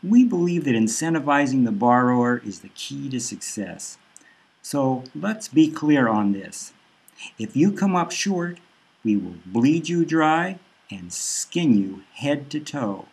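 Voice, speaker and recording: A man voicing a cold, menacing female bank loan officer who lays out threats in a flat, businesslike way. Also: medium pitch, slow pace, gravelly, breathy edge, heavily acted. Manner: cool, threatening, matter-of-fact.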